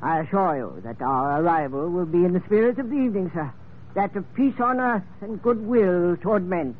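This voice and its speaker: An actor playing a pompous, oily swindling Major in grandiloquent old-time radio drama style. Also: male voice, high-pitched, animated, brisk. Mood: pleading. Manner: grandiloquent, unctuous, theatrical. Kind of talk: 1940s-50s radio drama acting. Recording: tinny, hissy old radio recording.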